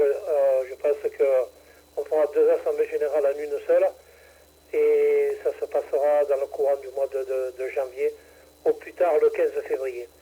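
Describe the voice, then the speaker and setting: A man's voice: a little high, mellow, husky, muffled recording. A man chairing an amateur radio net over the air, talking in a hesitant way with many fillers and restarts. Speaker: radio club president running a ham radio net. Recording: radio transmission.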